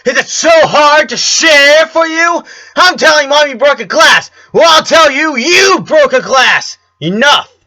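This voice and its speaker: A man's voice: very high voice, animated, unhurried, hardly any pauses, sharp tone, pitched above the performer's own voice, full character voice. Man voicing shrieking, bratty little twin girl. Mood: furious screaming. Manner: screaming, accusatory. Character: squabbling young twin girls, furious and bratty.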